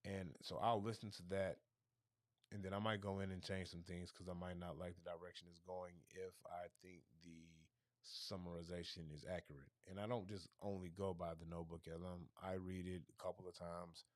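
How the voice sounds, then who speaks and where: A man with a very low, hoarse voice hosts a casual livestream chat, thinking out loud and circling back on his words. The sound is dry and close, with no room around it. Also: little pitch movement, normal speed, no echo. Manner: rambling, thinking aloud with restarts. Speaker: livestream host.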